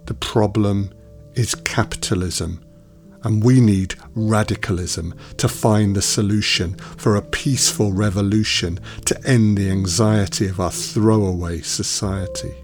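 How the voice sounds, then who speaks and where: A man reading rhyming poetry aloud, measured and emphatic on the rhymes. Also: lowish voice, fairly even intonation, faint music behind.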